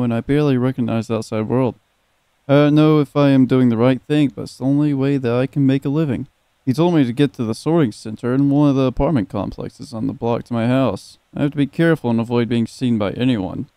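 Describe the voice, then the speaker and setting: A man's voice: medium pitch, dark tone, clean tone, no room sound. A young man giving casual let's play commentary, joking and swearing and at times reading game text aloud. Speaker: gaming video host. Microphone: close, dry microphone.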